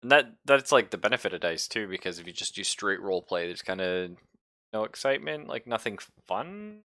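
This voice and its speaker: Low, bright-voiced man running a tabletop game. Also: big pitch swings, fairly quick, no room sound, clean studio sound. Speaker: game master of an online tabletop RPG. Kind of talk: casual group chat while running a game.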